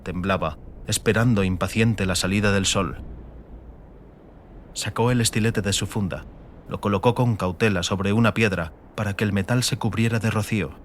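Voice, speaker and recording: A man reading scripted dramatic audiobook narration in a measured, literary manner. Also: lowish voice, fairly even intonation. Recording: faint ambient noise behind.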